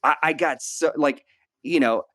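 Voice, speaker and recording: A man's voice: on the high side, unhurried, bright, forceful tone, no echo. A man hosting a conversational interview, speaking in an earnest, reflective way and repeating phrases for emphasis. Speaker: podcast host.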